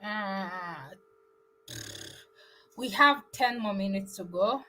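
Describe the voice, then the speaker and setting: A woman's voice: mid-range voice, very slow, sharp tone, slight background noise, rough recording. A young Kenyan woman chatting loosely on a live travel stream, answering viewers' comments as she goes. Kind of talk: casual live stream chat, reading comments.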